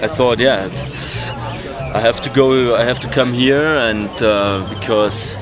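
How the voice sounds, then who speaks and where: An Austrian man with a German-speaking accent speaks in halting, hesitant English, stopping often to hunt for words. He is recorded outdoors in a town street, and music plays clearly behind him. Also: mid-range voice. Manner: halting, many fillers, searching for English words. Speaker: protester. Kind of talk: street interview.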